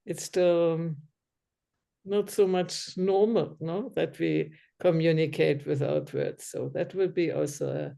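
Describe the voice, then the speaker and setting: German lady in a casual group chat, warm low-key voice, keeps hunting for words. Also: steady tone, slow, gravelly. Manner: hesitant, searching for words, restarts. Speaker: discussion group member. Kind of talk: casual conversation.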